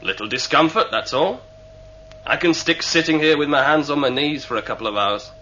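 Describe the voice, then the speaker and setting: A man's voice: fairly high pitch, animated, fairly quick, sharp tone. An actor playing a cocky, sneering male spacer, a scheming mutineer who needles his captors in a sci-fi radio drama. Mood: taunting. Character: sly, taunting male mutinous spacer held captive. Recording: muffled, hissy old radio recording with hum.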